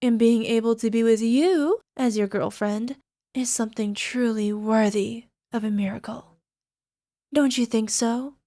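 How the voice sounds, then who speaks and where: A woman playing a young, sweetly possessive yandere alchemist girlfriend in an ASMR roleplay, coaxing softly and sometimes slipping toward a whisper. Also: on the high side, steady tone, a little slow, dry recording, studio quality, natural voice. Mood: smug, possessive. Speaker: voice actor. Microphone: close microphone, dry.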